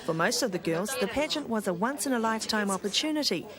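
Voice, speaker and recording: A woman narrating a TV highlights package in a bright, upbeat scripted style. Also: animated, fast talker. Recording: voice-over with loud music behind.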